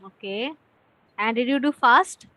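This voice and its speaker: An Indian-accented woman teaches spoken English through casual conversation. Her voice is high and the sound is dry, with little room on it. She asks simple questions in an encouraging way. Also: unhurried, pushed and bright, no room sound.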